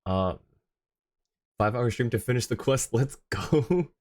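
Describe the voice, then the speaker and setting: A man's voice: medium pitch, lively intonation, measured pace, a little mellow. A man hosting a casual livestream, talking in a friendly, chatty way to his viewers. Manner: friendly, chatty, thanks and greets viewers by turns. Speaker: live streamer, host.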